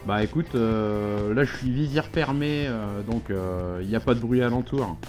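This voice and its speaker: A man's voice: on the low side, normal speed, a little mellow, faint music behind. A man co-presenting in casual, colloquial, unscripted chat. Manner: informal, colloquial, agreeable. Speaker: co-presenter, host's friend.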